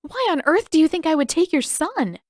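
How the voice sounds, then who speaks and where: A woman's voice: high voice, sing-song, fairly quick, darkish tone, no room sound, studio quality, pitched above the performer's own voice. A woman voicing an earnest, apologetic teenage girl in an audiobook, with a reassuring but easily flustered manner. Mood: anxious, earnest. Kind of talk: audiobook character acting.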